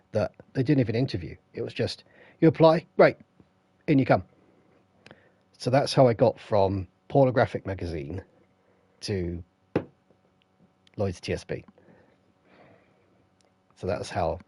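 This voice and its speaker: An English man with a croaky voice, feeling unwell, rambling through stories while greeting his live stream chat on a headset. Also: lowish voice, warm tone, dry recording. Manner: rambling, anecdotal, stops to greet viewers. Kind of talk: casual storytelling to live chat.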